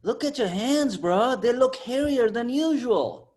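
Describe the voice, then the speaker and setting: A man's voice: very high-pitched, lively intonation, measured pace, darkish tone. A man playing a cheeky, laid-back sidekick in a comic table read, slangy and full of wisecracks. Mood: teasing. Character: cheeky, laid-back male sidekick teasing his buddy.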